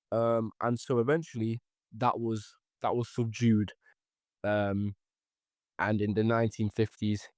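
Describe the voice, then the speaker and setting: A young man from Ethiopia and Eritrea talks through history as a podcast guest, pausing often with ums and restarting phrases. His voice sits fairly low, and the sound is dry and close. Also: a little mellow, no room sound. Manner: hesitant, many ums and restarts. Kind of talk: casual explanatory chat.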